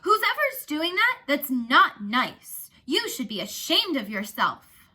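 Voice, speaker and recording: A woman reads the part of a young schoolgirl from a storybook, sensible and wry with a temper underneath. The voice is bright and fairly high, with earnest, emphatic delivery. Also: big pitch swings, takes it slowly, full character voice. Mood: indignant shouting.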